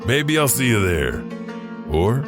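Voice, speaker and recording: A man doing a wandering janitor who tells creepy tales in an audio drama. His voice sits very low and rumbling, and he lets out wry little chuckles between facts. Music plays underneath him. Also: very animated, slow. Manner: chuckles to himself, wry asides. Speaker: narrator. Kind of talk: horror anthology narration.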